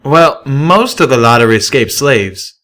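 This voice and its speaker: A man's voice: medium pitch, animated, measured pace, a bit bright, voice pushed up. A man voicing a puzzled, blunt pony sidekick in an audiobook. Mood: confused.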